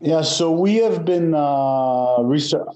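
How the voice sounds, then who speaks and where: Mellow-voiced male executive from Israel. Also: on the high side, slow. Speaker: data center company executive. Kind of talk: interview answers.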